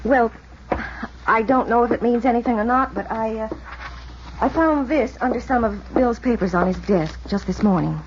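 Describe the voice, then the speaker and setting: An actress playing a troubled, earnest, hesitant wife in a mid-century radio drama. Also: female voice, on the high side, moderate pace, lightly acted. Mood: worried, hesitant. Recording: muffled vintage radio, faint background noise.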